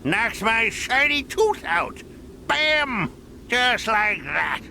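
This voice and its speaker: A man playing a cranky, possessive old gold prospector in a cartoon game, with a gravelly Old West drawl. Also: very high-pitched, sing-song, drawn-out words, pushed and bright, a little hiss or hum, full character voice. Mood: grudging. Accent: American Old West. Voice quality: creaky, gravelly. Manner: grumpy, drawling. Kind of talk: animated video game voice acting.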